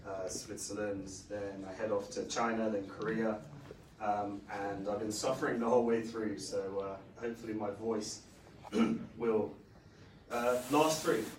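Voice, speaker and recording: A middle-aged man with a hoarse, croaky voice gives a rambling conference talk, full of fillers. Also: lowish voice, fairly even intonation, measured pace. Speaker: conference speaker. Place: conference stage. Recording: echoey hall, distant mic, faint noise.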